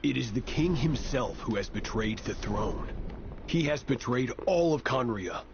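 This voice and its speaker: Gravelly, grave defiant knight. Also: male voice, mid-range voice, lively intonation, unhurried, dull audio, noisy background. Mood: grave defiance. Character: grave defiant knight accusing his king of betrayal. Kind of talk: scripted game dialogue.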